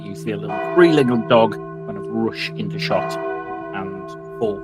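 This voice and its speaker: A man's voice: lowish voice, a little slow, loud music behind the voice. A British man hosting and narrating a tabletop roleplaying game as game master, feeling his way through sentences with self-corrections. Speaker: game master and podcast host.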